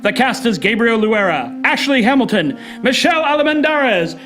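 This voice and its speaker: A woman's voice: on the high side, sing-song, normal speed, a bit bright, faint music behind. A woman playing a mock-dramatic announcer in a parody old-time radio serial, reading teasers and credits. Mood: brisk.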